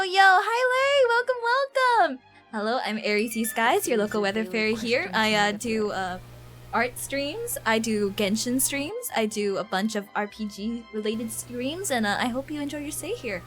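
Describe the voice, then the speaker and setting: A woman hosting a casual game livestream, chatting in a giggly, excitable, gushing way with plenty of swearing. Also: very high-pitched. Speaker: livestream host.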